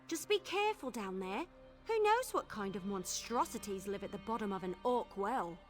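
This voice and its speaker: An actress playing a stubborn, adventurous scout companion in a video game, talking in a wry, confident manner. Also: female voice, mid-range voice, lively intonation, moderate pace, lightly acted. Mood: wry, upbeat. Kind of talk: video game character acting. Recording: game music under the voice.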